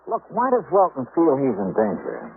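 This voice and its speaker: A man's voice: medium pitch, wildly swooping pitch, normal speed, gravelly. A man playing a cocky, sarcastic American insurance investigator in a 1950s radio drama. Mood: probing, brisk. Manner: sarcastic, glib. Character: cocky wisecracking insurance investigator, sardonic and brisk. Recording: noisy tinny old radio recording.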